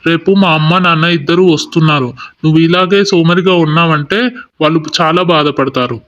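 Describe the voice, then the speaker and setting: Long-suffering young village husband , played by a man, complaining, slightly high-pitched, dull recording, story dialogue. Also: steady tone, a little fast, fairly bright tone, lightly acted. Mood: musing, worried. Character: exasperated young village farmer husband of a lazy wife. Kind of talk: acted Telugu moral story dialogue.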